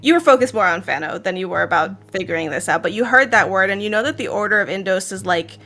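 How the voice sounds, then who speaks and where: A woman's voice: medium pitch, lively intonation, quick pace, bright, forceful tone. A woman with an Israeli accent, narrating a tabletop game as game master in a casual, laughing way. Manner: laughs often, casual with fillers.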